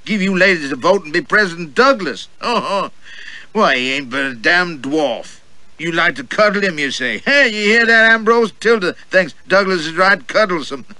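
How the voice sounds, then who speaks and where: A bigoted old gentleman holds forth at full volume, his voice pushed up high and bright, as a man plays him. He drawls and laughs at his own jokes. It's a storybook reading. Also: expressive, moderate pace, no room sound. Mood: blustering. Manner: boastful, drawling, guffawing. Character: loud boorish portly older gentleman, bigoted.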